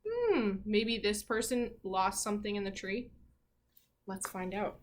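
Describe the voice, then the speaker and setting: A woman teacher leading an interactive read-aloud for young children, asking questions and reading with animated emphasis. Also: mid-range voice. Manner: asks questions and pauses as if hearing answers. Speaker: elementary school teacher.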